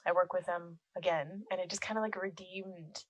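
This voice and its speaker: A young woman with a soft, quiet voice, chatting as a podcast guest. She laughs often and says "like" a lot. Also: fairly low pitch, steady tone, normal speed. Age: young adult. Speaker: guest, film composer and musician. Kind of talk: casual podcast chat. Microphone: close podcast microphone.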